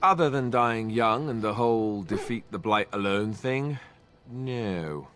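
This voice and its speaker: An actor playing a wry, self-deprecating young warrior in a video game, talking in an easygoing, joking way. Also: male voice, lowish voice, unhurried, a bit bright, soft background music. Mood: reassuring. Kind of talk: video game cutscene dialogue.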